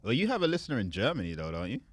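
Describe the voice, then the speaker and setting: A playful man chatting as a podcast guest, teasing his hosts and laughing easily. His pitch leaps up and down with great liveliness. The sound is dry and close, with no room echo. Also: huge pitch swings, racing. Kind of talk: casual chat.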